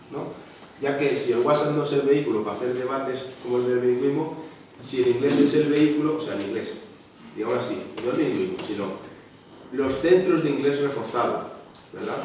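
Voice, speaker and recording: A man organizing a public debate, introducing the speakers in a rambling way, full of little tag questions. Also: medium pitch. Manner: rambling, full of "¿no?" and "o sea" tags. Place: meeting room of a local association. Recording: echoey, muffled room recording from a distant mic with background noise.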